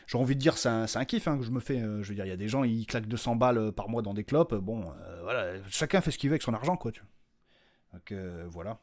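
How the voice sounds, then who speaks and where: A man hosting his own podcast, giving an unscripted, informal announcement in a rambling, slangy, self-deprecating way. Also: mid-range voice. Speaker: podcast creator and host.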